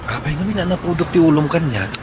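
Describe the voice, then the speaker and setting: An actor playing an earnest, persistent young suitor in an Ilocano radio comedy drama. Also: male voice, a little high, lively intonation, fast, heavy background noise, lightly acted. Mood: persuading.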